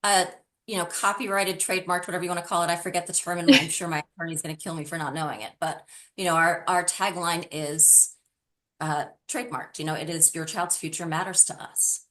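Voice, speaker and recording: A woman's voice: on the low side, fairly even intonation, fairly quick, bright, forceful tone. A middle-aged woman answering interview questions conversationally, in an earnest and emphatic way. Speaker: interview guest, tutoring business founder.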